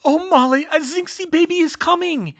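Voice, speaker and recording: A man voicing a fiery, pregnant young wife, shrill, dramatic and snappish, in a story reading. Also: normal speed, fairly bright tone, dull audio. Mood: shrieking panic.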